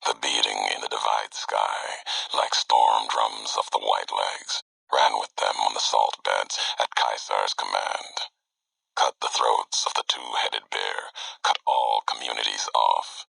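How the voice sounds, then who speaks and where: A grave, battle-worn wandering courier for a video game. His voice is gravel-thick and husky, with a forceful edge. He speaks in measured, cryptic fragments, brooding and philosophical throughout. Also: extremely low pitch, fairly even intonation, dry recording, full character voice, poor audio. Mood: grim, brooding. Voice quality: gravelly, breathy rasp. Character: solemn, weathered wandering former Legion courier, brooding and philosophical. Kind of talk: video game character monologue.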